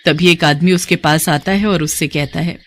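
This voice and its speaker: A woman's voice: sits low, fairly even intonation, normal speed, a bit bright. A woman narrating a scripted cartoon moral story as its storyteller.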